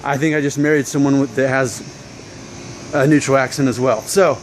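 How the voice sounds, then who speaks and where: A man in his thirties from Alabama with a mild Southern American accent, talking casually to camera in a relaxed, chatty way. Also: mid-range voice, normal speed, slight background noise, low-quality recording. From Alabama, USA. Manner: relaxed, chatty, thinking aloud. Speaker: vlogger.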